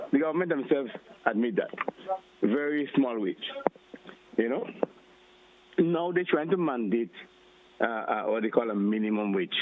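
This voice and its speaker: A man calling in to a radio show, arguing with rising, emphatic questions. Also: medium pitch. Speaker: caller. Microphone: phone line. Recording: tinny phone line.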